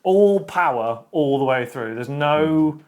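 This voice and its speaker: A man's voice: fairly high pitch, lively intonation, darkish tone. Opinionated man chatting books. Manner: opinionated, talks in quick bursts with restarts. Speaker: podcast co-host. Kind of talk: casual chat about books.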